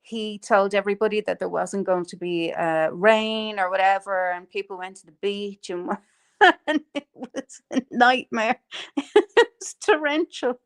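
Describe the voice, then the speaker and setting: A woman hosts a relaxed live stream, chatting to her audience. She rambles easily, full of ums, restarts and quick laughs, at a middling pitch. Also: smooth. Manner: chatty, lots of fillers and laughs. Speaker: livestream host. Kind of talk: casual chat on a live stream.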